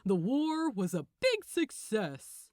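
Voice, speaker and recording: A smug, mocking male archangel from an audiobook story, played by a woman. He talks down to his listener with theatrical relish. The pitch swings freely and the sound is close and dry. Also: wildly swooping pitch, slow pace, a little mellow, dry recording, full character voice. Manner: condescending, chuckling, theatrical. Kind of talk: acted audiobook dialogue.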